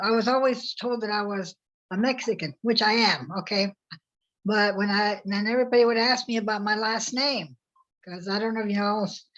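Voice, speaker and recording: A woman's voice: mid-range voice, fairly bright tone, lo-fi sound. A woman informally sharing a personal story in a group discussion. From United States. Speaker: workshop participant.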